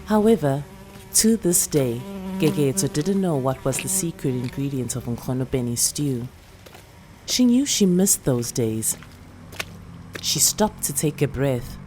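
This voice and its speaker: A woman's voice: a bass voice, very animated, a little slow. A woman with a South African accent reading a literary short story as a scripted narration.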